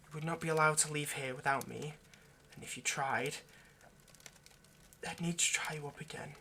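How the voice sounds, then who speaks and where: A man's voice: a little high, fairly even intonation, moderate pace, slight background noise. A man playing an earnest, lovestruck vampire in an intimate roleplay monologue, speaking hesitantly and persuasively. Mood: tender, grave. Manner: hesitant, stammering, gently persuasive. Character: earnest, lovestruck male vampire coaxing a partner.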